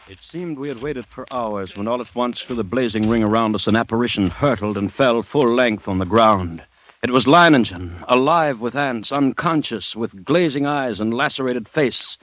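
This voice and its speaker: An actor playing a commissioner who witnesses and recounts events with grave drama, in old-time radio style. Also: male voice, mid-range voice, moderate pace, a bit bright, no room sound, close to an everyday voice, rough recording. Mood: grave, urgent. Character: anxious male commissioner, grave witness narrating the tale. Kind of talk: 1940s-50s radio drama acting.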